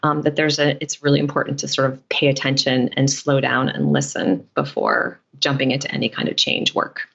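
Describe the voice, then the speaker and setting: A woman with a low-pitched voice talks as an interview guest, explaining her ideas reflectively and conversationally. The recording is a little dull and muffled. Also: brisk, gravelly, few pauses, a bit bright. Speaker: podcast guest, dietitian and author.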